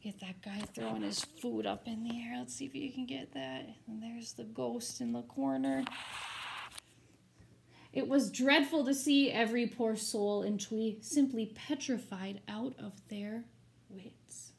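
A woman's voice: fairly high pitch, measured pace, gravelly, slight background noise. A woman teacher reading a picture book aloud expressively, with informal, chatty asides for children.

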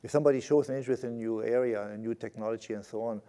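An older man in his sixties talks on a conference stage through a lapel mic. His voice is mellow and his pitch sits in the middle. He stays conversational and tags his points with a quick "right?". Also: fairly even intonation. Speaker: panelist, IT executive. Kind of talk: panel discussion.

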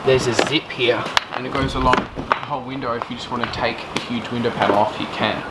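A man narrating an urban exploration vlog in a casual, sometimes hushed way, with wry asides. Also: medium pitch, fairly even intonation, a little fast, heavy background noise. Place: abandoned half-built dome house outdoors and indoors.

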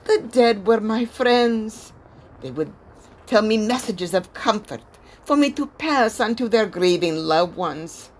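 A woman's voice: medium pitch, lively intonation. A woman playing a weary old female spirit medium, solemn and foreboding, in a theatrical audio drama. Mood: haunted, grave. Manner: solemn, dramatic, deliberate pronouncements.

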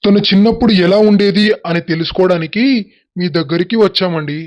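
Stern accusing family man in a story cartoon, played by a male actor, high pitch, dull recording. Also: fairly quick, close to an everyday voice. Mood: probing. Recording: dull, narrow-band voice track.

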